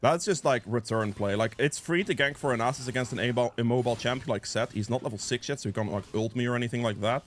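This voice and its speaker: A man's voice: medium pitch, fast talker, few pauses, a little hiss or hum. A man giving casual live commentary on a video game as he plays, thinking aloud and reacting as he goes, sniffly with a cold. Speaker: gameplay guide creator and player.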